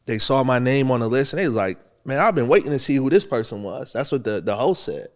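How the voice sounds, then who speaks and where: A man's voice: medium pitch, fast talker. Laid-back young man telling stories. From Buffalo, New York. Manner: relaxed, storytelling, laughs often. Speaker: rapper guest. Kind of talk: casual interview talk.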